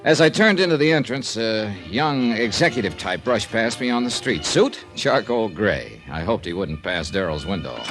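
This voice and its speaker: A man playing a brisk, wry insurance investigator in 1950s radio detective style, with crisp, punchy delivery. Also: fairly low pitch. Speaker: radio drama lead and narrator. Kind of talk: 1950s radio detective acting, first-person narration. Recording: old radio recording with music.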